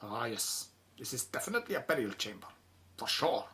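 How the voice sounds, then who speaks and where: Grave, bright-edged male tomb expert played by an actor. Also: mid-range voice, very animated, fairly quick. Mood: solemn, knowing. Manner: solemn, formal, careful phrasing without contractions. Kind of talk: audio drama acting.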